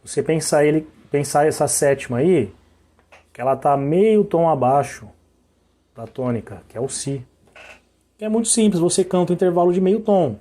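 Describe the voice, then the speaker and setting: A hoarse man teaching musical intervals, singing note names to demonstrate them and checking in often with short questions. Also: on the high side, animated, normal speed, dark tone. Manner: sings interval notes to show them. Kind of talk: sung and spoken music lesson.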